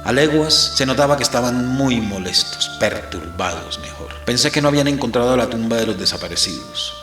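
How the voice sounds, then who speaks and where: An actor playing a grave, fatalistic captive priest who narrates his ordeal in a measured, theatrical, literary audio-drama style. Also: medium pitch, animated, reverberant, over music, close to an everyday voice. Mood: grave, brooding.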